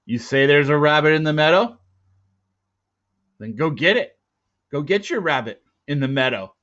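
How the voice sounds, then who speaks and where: Guy doing a big gruff caveman hunter boss, bossy and scornful, voice pushed high, kinda dull recording. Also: expressive, normal speed. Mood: sneering. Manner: harsh, shouting commands.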